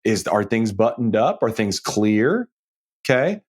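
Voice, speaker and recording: Animated, husky-voiced man giving podcast advice. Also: fairly low pitch, a little slow. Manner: emphatic, folksy, checks in with rhetorical tags. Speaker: podcast host and financial planner. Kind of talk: conversational solo podcast advice.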